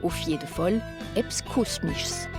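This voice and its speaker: A woman, reading a scripted TV report voice-over in an even manner. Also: fairly low pitch, measured pace, over music. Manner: even, read-aloud delivery. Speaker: news reporter. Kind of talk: scripted narration.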